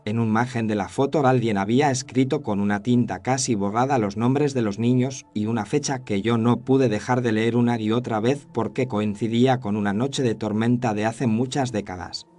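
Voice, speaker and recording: A man playing a haunted, regretful cameraman who narrates a scripted horror story in the first person, solemn and measured. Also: medium pitch, steady tone, darkish tone, lightly acted.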